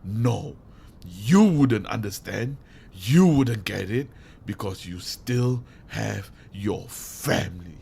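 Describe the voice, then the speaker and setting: A man playing a grieving, vengeful villain in an audio drama, with menacing, deliberate delivery and theatrical pauses. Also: fairly low pitch, animated, gravelly, warm tone, a little hiss or hum, exaggerated voice. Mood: bitter. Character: grieving, vengeful male villain hijacking a radio broadcast. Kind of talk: dramatic audio roleplay monologue.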